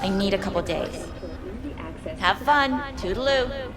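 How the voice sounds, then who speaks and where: A woman performs a cold, sardonic game schemer with a mid-pitched voice. She is curt, haughty and all business, with music playing behind her. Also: lively intonation, unhurried, lightly acted. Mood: dismissive. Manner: curt, disdainful. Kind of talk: video game cutscene acting.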